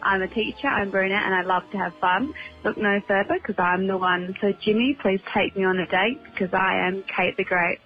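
A woman's voice: medium pitch, normal speed. A woman leaving a playful rhyming voicemail message. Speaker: teacher, voicemail caller. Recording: dull voicemail audio over music.

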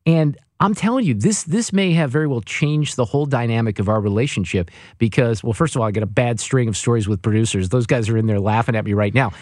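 A man's voice: mid-range voice, fast, few pauses, no echo, studio quality. A male radio host chatting casually on air, telling stories and teasing his co-host. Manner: teasing, animated storyteller.

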